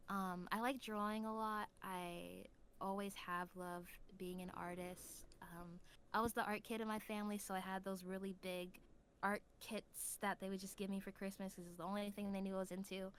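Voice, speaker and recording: A young woman talks as a guest on a podcast in a casual, slangy style. She has a mid-pitched voice and tells things in an animated way. There is audible noise behind her. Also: moderate pace. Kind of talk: casual chat. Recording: clear background noise.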